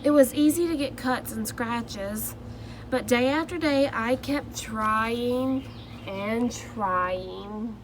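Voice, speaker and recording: A timid egg tells his own story from a picture book in a soft, earnest way, read by a woman. The telling is slow, and the voice sits slightly high. A steady background noise sits under it. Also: rough recording. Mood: hopeful. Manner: soft, earnest first-person storybook narration.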